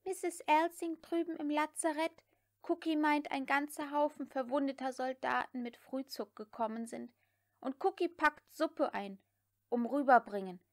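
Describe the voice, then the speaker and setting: A childish young servant girl from an audiobook, as a woman narrator puts her on. She is excitable and easily panicked, with a high, wailing voice. The sound is dry. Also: measured pace, no room sound. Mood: flustered.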